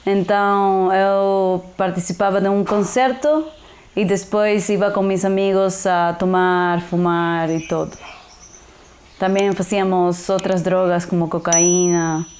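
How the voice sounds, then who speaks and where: Uma jovem adulta do Equador, nativa em espanhol, dá seu testemunho num português hesitante com sotaque equatoriano, misturando palavras espanholas. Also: female voice, medium pitch, a little mellow. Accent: Ecuadorian Spanish. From Ecuador. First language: Spanish. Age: young adult. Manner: halting, mixes Spanish words into Portuguese. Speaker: resident sharing her testimony. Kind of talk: personal testimony.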